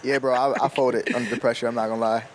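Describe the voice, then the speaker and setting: A man's voice: medium pitch, little pitch movement, racing, slight background noise. A man in his twenties chatting casually on a podcast, loose and slangy, laughing as he tells stories. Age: 20s. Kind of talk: casual chat.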